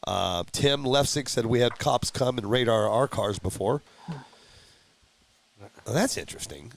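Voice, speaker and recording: A man's voice: mid-range voice, lively intonation, measured pace, vocal fry. A middle-aged man hosting a live-streamed hobby talk show, chatting casually, rambling with restarts and reading out viewer comments. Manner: rambling, with frequent restarts and chuckles.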